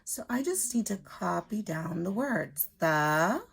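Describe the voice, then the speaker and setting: A woman's voice: on the low side, very animated, slow, vocal fry, slightly rough. A woman teacher reading aloud and explaining a simple lesson to young children step by step. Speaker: elementary school teacher.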